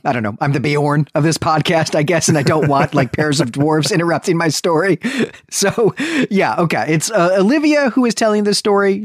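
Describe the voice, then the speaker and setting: Animated man recapping a story. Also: fairly high pitch, dry recording, studio quality. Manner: explanatory, often checks in with "right". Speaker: podcast co-host. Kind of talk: literary recap, retelling a story conversationally.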